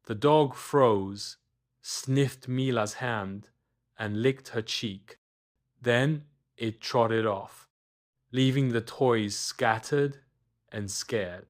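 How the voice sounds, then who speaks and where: Casting: man to narrate kids' bedtime stories, unhurried, mid-range voice, expressive with dramatic pauses. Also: medium pitch. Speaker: storyteller, narrator. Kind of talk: scripted children's bedtime story narration.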